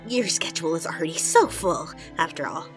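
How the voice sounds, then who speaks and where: A woman's voice: sits low, animated, fairly quick, music in the background. A woman playing an anxious, kind young pony aide in a radio drama, earnest and easily flustered. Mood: flustered, nervous. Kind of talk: fan radio drama acting.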